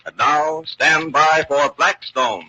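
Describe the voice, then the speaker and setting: A man's voice: on the high side, slow, sharp tone, soft background music, heavily acted, low-quality recording. A man announcing a mystery program in dramatic 1940s radio style. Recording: vintage 1940s radio recording.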